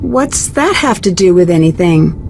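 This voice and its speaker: An actress playing a polite, direct young amateur detective in a video game, with an American accent and an inquisitive manner. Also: female voice, on the low side, expressive, unhurried, vocal fry, darkish tone, slight background noise. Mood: curious, probing. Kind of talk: video game character dialogue.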